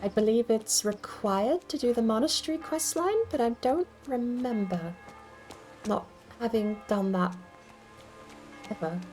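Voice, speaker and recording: A woman with a fairly high voice chats casually as she streams a game. She rambles and thinks aloud, talking to viewers over faint background music. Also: measured pace, darkish tone. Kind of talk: casual livestream game commentary.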